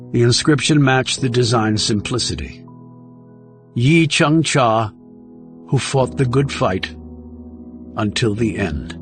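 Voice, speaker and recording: A man reading scripted thriller narration as an audiobook narrator. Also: medium pitch.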